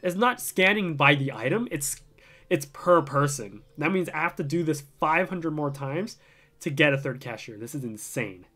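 Young male streamer, casual play-by-play, animated pitch, desk mic. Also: normal speed. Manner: chatty, talks to himself while playing, excited bursts. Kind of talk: casual let's-play commentary.

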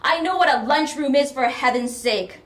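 Shrill, domineering elderly mother. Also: normal speed. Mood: sharp indignation. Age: older. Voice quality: sharp, loud. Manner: scolding, guilt-tripping, practically shouting. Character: domineering, self-pitying, shrill elderly mother berating her daughter.

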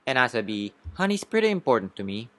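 A man's voice: mid-range voice, very animated, fast talker, fairly bright tone, no room sound. A man voicing an earnest, indignant young bee who speechifies and asks pointed questions in a cartoon courtroom story. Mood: earnest, pleading.

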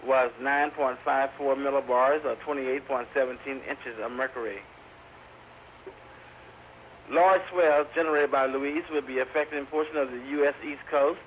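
A man's voice: mid-range voice, a bit bright. Male weather radio reader, medium register, scripted forecast delivery, slightly noisy 1990s VHS-taped broadcast. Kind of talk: scripted weather bulletin reading. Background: faint radio noise.

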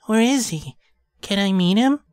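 A woman's voice: mid-range voice, expressive, a little slow, a little mellow, clear, resonant tone, close to an everyday voice. A woman voicing a headstrong young boy in an amateur audio drama. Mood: curious, earnest.

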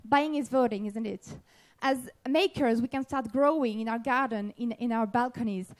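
High-voiced woman giving a conference talk. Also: normal speed. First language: French. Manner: hesitant, restarts phrases. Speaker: speaker and panelist. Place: large event tent with audience. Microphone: handheld mic.

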